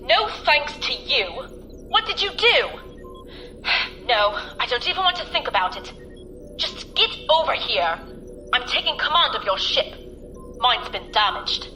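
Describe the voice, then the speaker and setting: Woman doing a bossy space pirate captain lady on a comm link, really high sharp voice, indignant, swoops around. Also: very animated, a little slow, pauses often, faint music behind, heavily acted, low-quality recording. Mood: angry, bossy. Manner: demanding, exclamatory. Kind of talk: audio drama acting.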